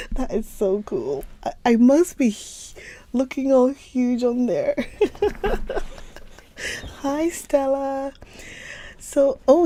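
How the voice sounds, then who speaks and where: A woman's voice: a little high, mellow, no echo. A young woman from Ghana hosting a livestream book reading, chatting casually and laughing often as she greets viewers by name. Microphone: phone or computer stream mic.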